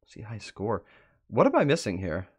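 A man's voice: lowish voice, huge pitch swings, normal speed, slightly rough, no room sound. A young man hosting a livestream, giving casual live reaction commentary and bantering with his chat. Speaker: livestream host. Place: indoor room at a desk, livestream. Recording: music behind the voice.

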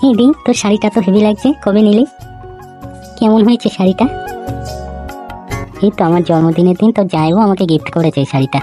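A woman's voice: mid-range voice, fairly even intonation, fast, long pauses, mellow, natural voice. A chatty young sister voiced by a woman in a comedy cartoon. She is casual and playfully teasing, with music underneath. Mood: playful, pleased.

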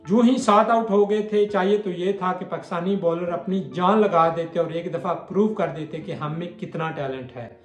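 A man's voice: sits high, moderate pace, hardly any pauses. A young man gives a blunt, mocking cricket analysis straight to camera in a small bare room. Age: young adult. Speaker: cricket commentator vlogger. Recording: echoey room, faint music behind.

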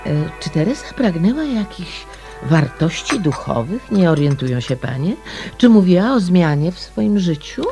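Radio play role: courteous, inquisitive woman detective, lowish animated voice. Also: moderate pace, soft background music. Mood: courteous, probing. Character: polite, persistent lady amateur sleuth. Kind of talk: radio drama acting.

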